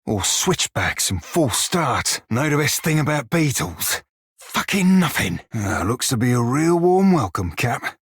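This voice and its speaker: An actor voicing a wry, foul-mouthed pirate shiphunter in a video game, speaking a colloquial sailor's dialect with a gritty, husky voice. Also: male voice, mid-range voice, very animated, measured pace, no room sound, studio quality, exaggerated voice. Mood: animated, teasing. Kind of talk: video game character dialogue.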